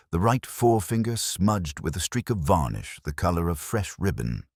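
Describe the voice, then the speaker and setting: A man reading a scripted mystery story in a measured, wry, literary manner. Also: very deep voice, no room sound, studio quality. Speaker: narrator. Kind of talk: scripted mystery audiobook narration.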